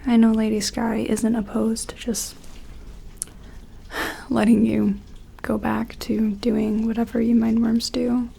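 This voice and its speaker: A woman doing a gentle ASMR roleplay as a careful scientist examining an alien, speaking softly and often slipping into a whisper, hesitant and reassuring. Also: fairly high pitch, level tone, takes it slowly, pauses often. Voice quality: soft, breathy, often dropping to a whisper.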